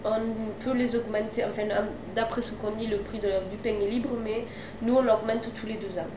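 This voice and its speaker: A woman's voice: fairly high pitch, fairly even intonation, brisk, echoey room, background noise. A woman working as a bakery shop assistant. She has a cold with a blocked nose and chats and jokes with customers and an interviewer inside the shop. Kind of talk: casual chat with customers and interviewer.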